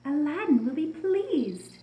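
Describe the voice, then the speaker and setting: Cheerful, trusting storybook princess, put on by a woman, high light voice, eager delivery, roomy sound. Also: very animated, takes it slowly, warm tone, a little hiss or hum, full character voice. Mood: pleased, eager.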